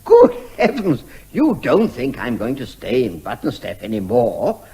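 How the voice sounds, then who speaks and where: An English actor playing a cheeky, unflappable out-of-work performer posing as a neighbour in a comic radio drama, jaunty and wryly genteel. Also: male voice, mid-range voice, huge pitch swings, unhurried, creaky, mellow, husky, exaggerated voice. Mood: mock-genteel.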